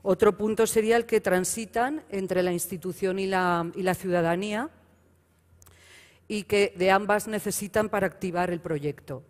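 A woman's voice: medium pitch, steady tone, normal speed. A middle-aged woman moderating a conference panel, listing common points and introducing a speaker, with brief self-corrections. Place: large hall on stage. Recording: long reverb in big hall.

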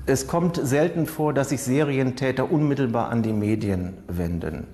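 A man speaks as a crime expert in an interview. His mid-pitched voice is soft-edged and mellow, the phrasing formal and deliberate. The sound carries a long reverb. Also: soft background music. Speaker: criminal profiling expert commentator. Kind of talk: analytical interview commentary.

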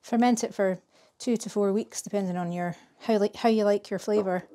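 A woman in her thirties from Scotland, casually explaining a recipe in her home kitchen with a chatty, relaxed manner and some fillers. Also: medium pitch, a little fast. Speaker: vlogger. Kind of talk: casual narration while cooking.

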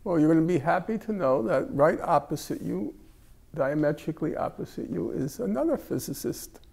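An older man leads a class in a library room, his voice gravelly and a little husky. He jokes wryly and pokes fun at himself. He is close on a lapel mic. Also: a little high, animated, normal speed, a little mellow. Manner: wry, joking, self-mocking. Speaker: class instructor. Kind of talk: casual classroom talk.

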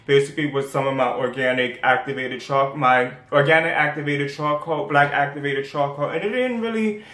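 A man's voice: mid-range voice, fairly quick, pushed and bright. A young man with a New York accent talks through a home skincare routine in a loose, rambling way, full of "um" and "like" as he restarts his sentences. He speaks close to the camera in a small room with bare walls, which gives his voice a noticeable echo. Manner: rambling, chatty, many fillers and restarts. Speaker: skincare vlogger. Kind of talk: casual how-to vlog.

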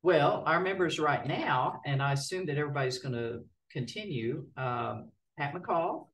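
A board chair running a city meeting in a folksy, relaxed way, with many filler words. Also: mid-range voice, moderate pace. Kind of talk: formal meeting procedure mixed with casual remarks. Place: city council chamber. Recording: distant mic in a meeting room.

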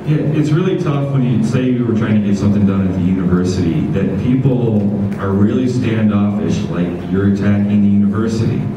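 A man's voice: fairly low pitch, a little fast, hardly any pauses. A middle-aged man talking casually as a panelist, telling anecdotes and repeating his phrases as he goes. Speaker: panelist, environmental activist. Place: meeting room, indoors. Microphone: distant camera microphone. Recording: distant, echoey, muffled, noisy room.

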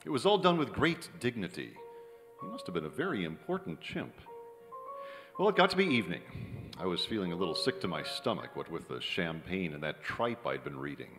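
A man's voice: sits low, sing-song, fairly quick. A middle-aged American man playing a cynical young screenwriter who narrates in a wry, old-time radio drama style. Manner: wry, hard-boiled. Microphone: vintage-style stand microphone. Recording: reverberant theater hall with music.

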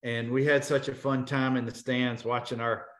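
A man in his thirties hosting a live video interview, upbeat and joking with quick asides. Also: mid-range voice, little pitch movement, a bit bright. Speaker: host. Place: home office, indoors. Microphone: video call. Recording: roomy video call audio.

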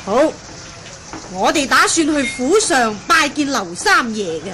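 An actress playing an irascible, imperious nun master in a Hong Kong Cantonese radio drama, haughty and scolding. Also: female voice, sits high, very animated, measured pace. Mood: stern, formal. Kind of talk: 1980 radio drama acting. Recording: noisy old radio drama recording.

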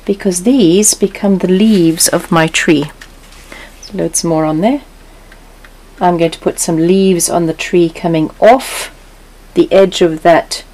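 A woman teaching an acrylic painting step by step, calmly explaining each brushstroke and repeating instructions. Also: fairly low pitch, rough recording. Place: studio table, overhead camera on canvas.

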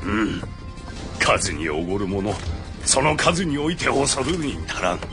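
A man's voice: fairly low pitch, sing-song, unhurried, over music. A man voicing a shrewd, commanding samurai lord in a game, with authoritative, old-fashioned speech. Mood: rousing, proud. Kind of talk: dramatic Japanese game voice acting.

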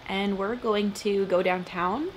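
A woman's voice: medium pitch, fairly even intonation, measured pace, a little hiss or hum, poor audio. A young woman chatting casually to the camera in a travel vlog, answering briefly and easily, filmed at arm's length in a hotel room. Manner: laid-back, brief, agreeable replies. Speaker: travel vlogger. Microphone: handheld camera held at arm's length.

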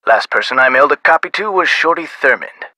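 An actor voicing a dry, guarded but chatty government clerk in a video game phone conversation. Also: male voice, on the high side, expressive, fairly quick, sharp tone, dry recording. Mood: businesslike.

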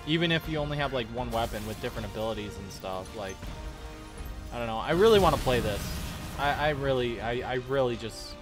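A young man giving casual, excitable reaction commentary as a gaming streamer, often repeating words. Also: mid-range voice, a little fast. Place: small cluttered gaming room at home. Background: game trailer music.

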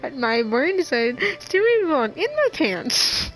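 A young woman with a fairly high voice chats casually over a game. She rambles in an excitable, giggly way, with faint game music behind her. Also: slow, rough recording. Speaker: gamer. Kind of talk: casual chat over gameplay.

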